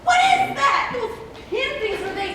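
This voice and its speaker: A female student actor playing a dramatic, highly strung woman in a live stage comedy. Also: sing-song, measured pace, exaggerated voice. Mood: irritable, dramatic. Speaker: student actress in a school one-act play. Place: large hall, recorded far from the stage. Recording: distant echoey stage recording, loud noise.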